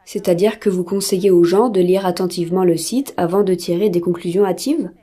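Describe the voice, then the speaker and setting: Mellow-voiced woman asking polite questions. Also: lowish voice, a little fast, breathy edge. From Argentina. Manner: polite, inquiring. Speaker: interviewer. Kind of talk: formal interview questions.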